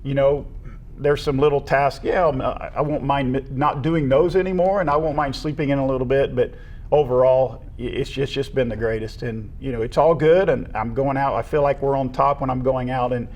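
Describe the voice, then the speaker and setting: A man's voice: medium pitch, fairly even intonation, a little fast, lo-fi sound. A middle-aged man with an American accent, talking casually and earnestly as a guest in an interview about his work. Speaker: retiring assistant athletic director, interview guest.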